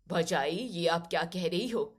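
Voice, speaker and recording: Woman doing a carping, low-voiced older aunt. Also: expressive, a little slow. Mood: disapproving. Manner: complaining, sneering.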